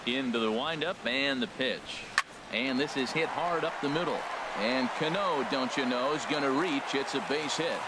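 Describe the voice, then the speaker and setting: A man playing a play-by-play baseball announcer in a video game, with a measured, polished delivery. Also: fairly high pitch, lightly acted. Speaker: play-by-play sports commentator. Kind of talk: scripted baseball commentary. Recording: loud stadium crowd noise over voice. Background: baseball stadium crowd in a video game.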